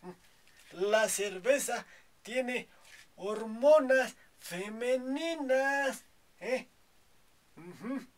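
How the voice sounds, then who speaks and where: A man's voice: extremely high pitch, animated, extremely slow, long pauses, pitched above the performer's own voice, exaggerated voice. A man playing a boastful, preachy old Mexican grandfather who rambles and keeps adding "hijo, ¿eh?", in a comedy sketch. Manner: rambling, tags nearly every phrase with "hijo, ¿eh?".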